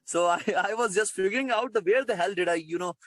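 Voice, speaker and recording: A man's voice: sits high, fast talker, fairly bright tone, no echo. A man from Pakistan with a Pakistani accent and Urdu as his native language, interviewing informally with hesitant, repetitive, wordy questions.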